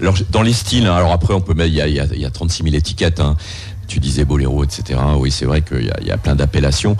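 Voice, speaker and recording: A man's voice: extremely low pitch, racing, darkish tone. An older man hosting a radio interview, asking questions with frequent hesitations and restarts. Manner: hesitant, many restarts and fillers. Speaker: radio host.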